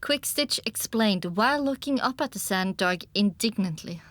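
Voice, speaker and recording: A woman narrating a scripted first-person story for an audiobook in an expressive storytelling manner. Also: medium pitch, moderate pace, no room sound.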